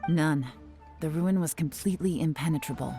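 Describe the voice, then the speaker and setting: A cool, secretive intelligence agent speaks in a low, composed voice. She is calm and measured, weighing every word. Soft game music hums faintly behind her. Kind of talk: video game voice acting. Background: faint background game music.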